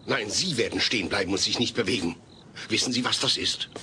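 A man's voice: fairly low pitch, brisk, background noise, close to an everyday voice. An actor playing an earnest, easily flustered middle-aged family man in a German radio play. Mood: urgent, bluffing. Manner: earnest, faltering when bluffing. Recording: loud music bed behind the voice.

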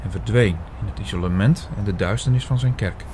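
A man playing an earnest investigator who tells his own story in an audio drama, in a measured way. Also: lowish voice, a little mellow, slight background noise, natural voice. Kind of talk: scripted audio drama narration.

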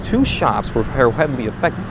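A man's voice: mid-range voice, very animated, normal speed. A young man in his twenties gives an earnest, dramatic on-scene news report outdoors at night, wording things loosely and restarting often. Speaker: independent street reporter. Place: outdoors at night on a city street. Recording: outdoor recording with street noise. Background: street and traffic noise.

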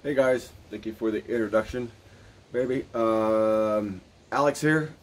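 A man in his fifties, born in Germany, speaking in a relaxed, talkative way with frequent restarts, his nose a little stuffy. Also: fairly low pitch, unhurried. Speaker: co-owner of a marriage agency. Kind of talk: casual video introduction. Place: covered porch outdoors.

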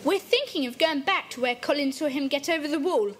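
A woman's voice: high-pitched, fairly quick, a little hiss or hum, natural voice. A woman voicing a confident boy who leads a children's club in an audio drama, speaking decisively and taking charge. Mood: eager.

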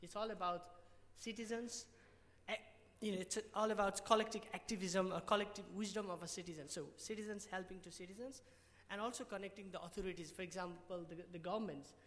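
Young Nepali-accented man, high-ish voice, halting conference pitch on a podium mic, long hall reverb. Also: steady tone, fairly bright tone. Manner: hesitant, many restarts and fillers. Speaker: award presenter.

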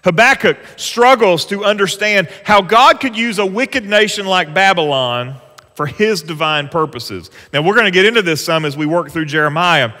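A pastor, preaching an earnest, conversational sermon from a church stage. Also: male voice, high voice, animated, normal speed, pushed and bright. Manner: earnest, conversational preaching with rising emphasis on scripture. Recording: long church hall reverb.

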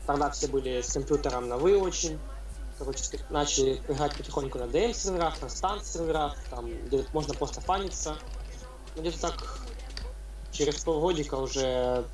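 A man's voice: mid-range voice, darkish tone. A young man in his late teens, talking as an interview guest in a rambling, casual way with lots of fillers. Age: young adult. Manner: rambling, loose storytelling with many fillers. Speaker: interview guest, game player. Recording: echoey, distant mic.

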